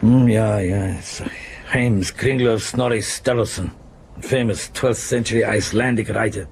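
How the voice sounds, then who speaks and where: An actor playing an irascible, pompous older German professor in a radio drama, blustering and scornful with a German accent. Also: male voice, fairly low pitch, normal speed, vocal fry, darkish tone. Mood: absorbed, gruff. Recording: faint background noise, 1990s radio broadcast.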